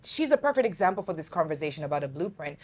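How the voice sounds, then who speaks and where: Lively, laughing woman interview host. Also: low voice, rapid-fire, breathy edge. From Uganda. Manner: lively, laughing, addresses viewers as family. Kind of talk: casual interview talk.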